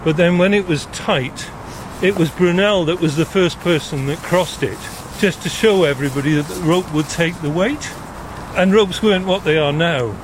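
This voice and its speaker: An older man from South Wales, explaining things in a measured way as an interview guest. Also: on the high side. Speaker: interview guest, retired nuclear engineer. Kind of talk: explanatory conversation. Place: outdoors walking in a gorge. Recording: outdoor recording with clear traffic noise. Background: road traffic and outdoor ambience.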